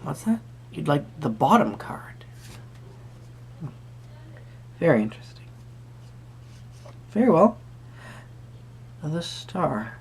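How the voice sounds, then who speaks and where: A man playing an eccentric, courtly tarot card reader, speaking softly and politely to the listener with theatrical, rambling musings and murmured asides in a husky, breathy voice. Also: a little high, slight background noise. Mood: courteous, mysterious. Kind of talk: soft-spoken tarot reading roleplay to the viewer.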